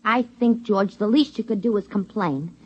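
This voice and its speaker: A woman's voice: medium pitch, normal speed, darkish tone, natural voice. An actress playing a nagging, anxious wife and mother, insistent and scolding, in 1950s radio drama style. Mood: insistent, worried. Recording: muffled vintage radio recording.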